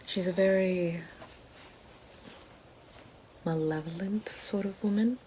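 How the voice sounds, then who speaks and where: A woman playing a regal, menacing evil queen witch in an ASMR fantasy roleplay, speaking slowly and formally in a soft, breathy, often whispered voice. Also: mid-range voice, a little hiss or hum, lightly acted, poor audio. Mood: solemn, ominous. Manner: slow, deliberate, formal.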